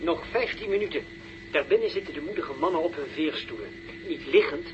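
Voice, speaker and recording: An actor playing a solemn television reporter in a 1960s radio drama, giving formal, declamatory commentary as if heard through a broadcast set. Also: male voice, a little high, lively intonation, brisk. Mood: solemn, urgent. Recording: dull, noisy sound of a TV set.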